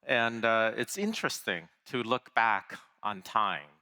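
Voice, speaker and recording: Male keynote speaker from Taiwan, bright forceful tone, chatty delivery with "uh"s and restarts. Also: lowish voice, very animated, unhurried. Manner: conversational, with fillers and restarts. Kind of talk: conference keynote talk. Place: large conference hall.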